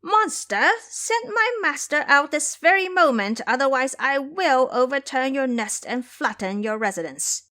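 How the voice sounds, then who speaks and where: A bold monkey warrior from an audio drama, acted out by a woman. He is combative and commanding, speaking in exclamations with an animated, leaping pitch. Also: measured pace, few pauses, heavily acted. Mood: fierce, challenging. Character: Sun Xingzhe, bold combative male monkey disciple.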